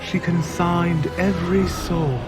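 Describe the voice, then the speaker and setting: An actor playing a solemn otherworldly narrator in a game cutscene, speaking in a grave, measured, portentous way. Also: male voice, sits high, fairly even intonation. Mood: solemn, reverent. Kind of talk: scripted dramatic narration. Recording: loud orchestral music behind. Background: loud music.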